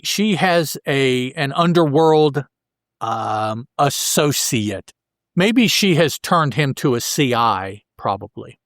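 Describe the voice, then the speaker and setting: Casual male podcast host, slightly high voice. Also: fairly bright tone, no room sound, clean studio sound. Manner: colloquial, joking asides. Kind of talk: casual comic book recap chat.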